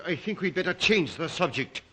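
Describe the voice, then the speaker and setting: Creepy polite count, kinda high sharp voice, smug, old-time melodrama villain. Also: male voice, animated, brisk, husky. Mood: suave, condescending. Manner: courtly, condescending, philosophizing. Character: suave, predatory foreign aristocrat who hunts humans, man. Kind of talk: old film-era melodrama acting.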